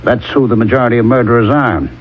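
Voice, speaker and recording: An actor playing a hard-nosed, methodical police lieutenant with a dry, blunt manner in a 1970s radio drama. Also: male voice, medium pitch, fast, husky, close to an everyday voice. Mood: accusing, methodical. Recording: muffled, faintly noisy old radio broadcast.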